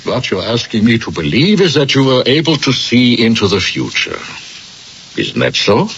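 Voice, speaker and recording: An actor playing a skeptical, patronizing psychiatrist in a radio drama, questioning pointedly with measured emphasis. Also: male voice, medium pitch, huge pitch swings, fairly bright tone. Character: skeptical, probing male psychiatrist, patronizing but patient. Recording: dull, hissy old radio recording.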